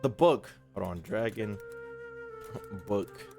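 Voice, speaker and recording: A man streaming casually to his chat, profane and slangy, thinking out loud as he talks. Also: lowish voice, animated, takes it slowly, vocal fry, soft background music. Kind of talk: casual live commentary to chat.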